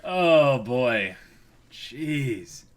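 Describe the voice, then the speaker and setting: A man co-hosting a manga read-along, reading aloud and reacting casually with goofy, excitable exclamations. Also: medium pitch, very slow.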